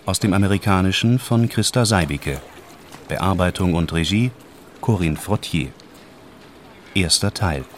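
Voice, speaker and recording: A man's voice: deep voice, unhurried, long pauses, a little hiss or hum. A man announcing radio drama titles and credits from a script.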